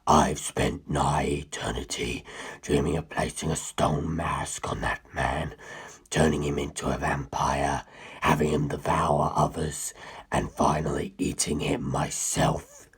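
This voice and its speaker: A man voicing an ancient, menacing inhuman being in a dramatic story, gravelly and breathy, with grandiose, condescending speech. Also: fairly even intonation, a little slow, exaggerated voice. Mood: menacing, hungry. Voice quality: very deep, gravelly, husky, breathy. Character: ancient, menacing, superior male non-human predator musing on humans. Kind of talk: dramatic audiobook character reading.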